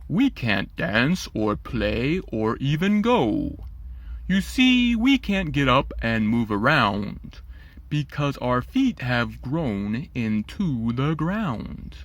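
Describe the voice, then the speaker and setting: A man voicing a plump, sluggish boy turning into a vegetable, slow and resigned like a ninety-year-old, in a children's storybook. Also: mid-range voice, very animated, no room sound.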